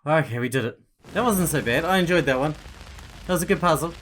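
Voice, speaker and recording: A man's voice: a little high, expressive, fast talker, fairly bright tone, a little hiss or hum. A man giving casual, conversational let's play commentary as he plays a video game. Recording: faint game music behind.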